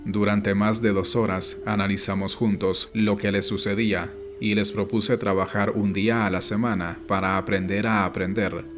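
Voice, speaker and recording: A man reading an audiobook as scripted narration, in a measured, instructive way. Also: fairly low pitch, flat delivery. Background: background music.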